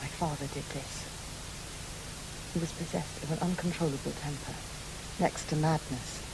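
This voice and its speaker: A woman's voice: low voice, fairly even intonation, vocal fry. An actress playing a haunted, reclusive lady medium in a radio drama, grave and intense. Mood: grave, confessional. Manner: grave, dramatic, deliberate phrasing. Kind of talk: studio radio drama acting. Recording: hissy background noise.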